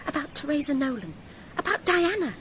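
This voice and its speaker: A woman's voice: high-pitched, very animated, a little fast, a bit bright. A woman playing an anxious, pleading character in a radio drama. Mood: anxious, urgent. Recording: light background noise.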